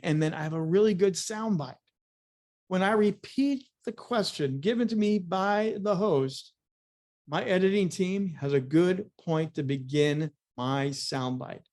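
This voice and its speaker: A man's voice: a little high, darkish tone. A man giving a motivational business talk as a media coach, speaking in punchy, emphatic phrases with repetition for effect.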